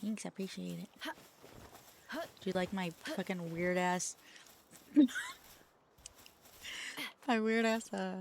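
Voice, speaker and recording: A woman's voice: mid-range voice, fairly even intonation, takes it slowly, creaky, pauses often, slight background noise. A woman VTuber streamer chatting casually with her chat, swearing often and poking fun at herself. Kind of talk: casual livestream chat, answering chat.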